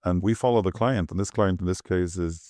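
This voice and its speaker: Middle-aged man, deep voice, dead-dry sound; relaxed, rambling interview guest. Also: a little fast, no room sound. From Sweden. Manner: rambling, thinking aloud with fillers and restarts. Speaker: architect, guest.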